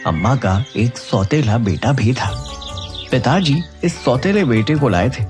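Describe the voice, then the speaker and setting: A man's voice: medium pitch, lively intonation, measured pace, darkish tone, faint music behind. A man narrating a scripted moral story as a storyteller.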